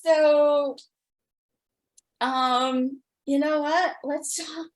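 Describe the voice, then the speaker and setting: A woman hosting a podcast interview in casual chat, with drawn-out, hesitant phrasing. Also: sits high, steady tone. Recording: roomy, echoey sound.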